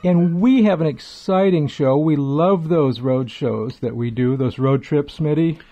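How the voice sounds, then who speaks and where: A man's voice: on the high side, measured pace, mellow. A middle-aged or older man hosting a retro radio talk show, speaking in a chatty, wordy, enthusiastic way with emphatic repetition. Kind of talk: enthusiastic conversational show introduction. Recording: dull, lo-fi sound.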